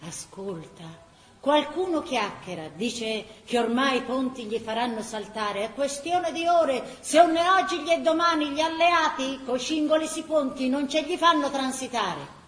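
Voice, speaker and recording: An actress with a Tuscan accent narrating a wartime chronicle as an impassioned, vivid radio-theatre storyteller. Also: female voice, high-pitched, animated, measured pace. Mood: grave, intimate. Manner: vivid, theatrical storytelling that breaks into characters' cries. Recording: roomy stage sound, faint background noise.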